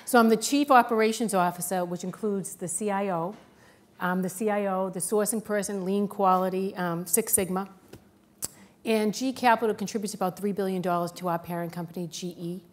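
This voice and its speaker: A woman's voice: medium pitch, steady tone, brisk. A middle-aged woman with a thick Boston accent, speaking plainly and candidly as a panelist. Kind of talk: panel talk.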